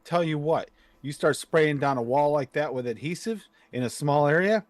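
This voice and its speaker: Brief: man, slightly high voice, dry close sound, playful and ribbing. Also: normal speed, no room sound. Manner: teasing, reads items for chat. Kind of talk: casual livestream chat.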